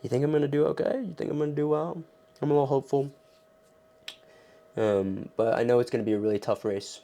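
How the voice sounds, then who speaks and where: A young man chatting casually in a vlog about his training, his voice hoarse and throaty from a cold, rambling with many fillers. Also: mid-range voice, fairly even intonation, fast, mellow. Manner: loose and rambling, many ums and restarts. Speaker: vlogger training for a triathlon.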